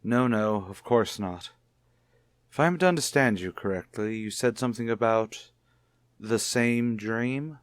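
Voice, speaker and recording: A man's voice: on the low side, unhurried, no room sound, studio quality, natural voice. A man playing a suspicious husband in an old-time radio soap, speaking with formal, pointed politeness. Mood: concerned, skeptical. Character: suspicious, coolly courteous husband, probing his wife.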